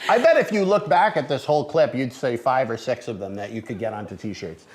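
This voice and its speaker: A man hosting a podcast in relaxed conversation, agreeable and quick with short murmurs of assent, who drops in bits of Mandarin. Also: mid-range voice. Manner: slips into bits of spoken Mandarin. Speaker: podcast host. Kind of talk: casual interview chat.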